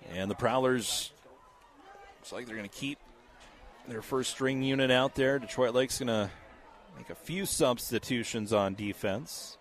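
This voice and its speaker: A man with a scratchy voice calling a high school football game play by play, steady and measured, with casual fillers. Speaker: play-by-play announcer.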